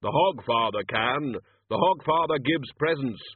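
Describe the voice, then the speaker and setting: Man doing death, curt and commanding, loud and husky. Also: medium pitch, animated, measured pace, dry recording, voice pushed up. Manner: terse, commanding. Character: Death, grave commanding male spirit. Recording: tinny lo-fi audio.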